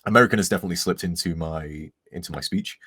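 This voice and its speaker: A man's voice: low voice, quick pace, a bit bright, slightly rough, no room sound, clean studio sound. A man from England with a mostly neutral English accent and a slight northern tint, chatting casually and rambling on a live charity stream. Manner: chatty, rambling, self-deprecating.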